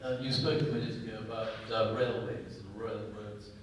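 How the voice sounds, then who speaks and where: A man's voice: lowish voice, steady tone, normal speed. Male host with brief, joking remarks. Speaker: event host. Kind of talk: hosting a live Q&A. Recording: echoey hall from far off, background noise.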